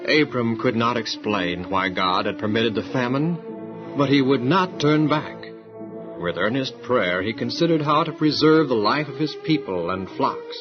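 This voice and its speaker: A man's voice: medium pitch, animated, measured pace. A man narrating a dramatized Bible story in a scripted storytelling style. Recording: muffled sound with music underneath.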